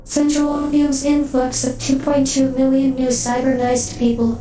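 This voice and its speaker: An actress playing a calm, secretive female android companion in a sci-fi game, speaking in a measured way. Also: high-pitched, echoey room, recorded from a distance, faint music behind, lightly acted, rough recording. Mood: clinical. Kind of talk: measured game cutscene acting.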